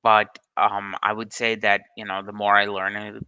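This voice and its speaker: Hesitant man, bright gravelly voice. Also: fairly low pitch, completely flat delivery, measured pace, no room sound, lo-fi sound. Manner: hesitant, many ums and restarts. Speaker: study group presenter answering questions. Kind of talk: casual live Q&A on a video call. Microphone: video call.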